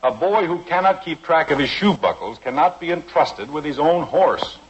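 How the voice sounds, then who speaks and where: A man's voice: fairly high pitch, animated, normal speed, lightly acted. Man acting pompous colonial father, swooping delivery. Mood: exasperated. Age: middle-aged. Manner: pompous, formal, wordy. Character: stern, exasperated colonial father holding forth pompously. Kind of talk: 1950s radio comedy acting. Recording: muffled, noisy old radio recording.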